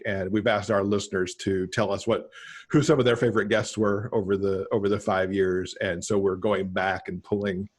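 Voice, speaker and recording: A middle-aged man chatting casually as a podcast guest on a video call, pausing to think as he goes. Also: on the low side, darkish tone, slightly rough. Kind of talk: casual conversational interview. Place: home room, on a video call.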